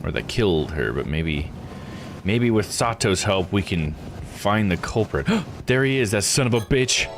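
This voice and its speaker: A man streaming a game, giving casual playthrough commentary as he thinks out loud and reacts. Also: lowish voice, very animated, measured pace, background noise. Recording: game music behind the voice. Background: game music.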